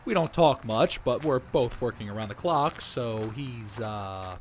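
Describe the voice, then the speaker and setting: Man acting as a steady small-town sheriff. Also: mid-range voice, a little slow, slight background noise, close to an everyday voice. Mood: friendly, earnest. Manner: formal, authoritative, protective. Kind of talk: audio drama acting.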